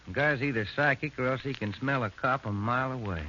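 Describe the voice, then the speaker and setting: An easygoing detective sidekick acted by a man talks plainly in 1940s radio style. His voice is medium in pitch, heard on a muffled vintage recording with clear background noise. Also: brisk, bright, forceful tone, lightly acted. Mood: conversational. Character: easygoing male police detective sergeant, the partner. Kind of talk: 1940s radio drama acting.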